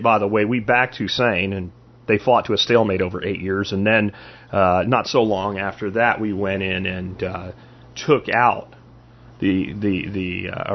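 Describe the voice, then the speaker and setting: A man's voice: lowish voice, slightly rough, lo-fi sound. A man hosting a podcast, delivering an opinionated political monologue in an emphatic way, with rhetorical questions and repeated phrases. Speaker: podcast host.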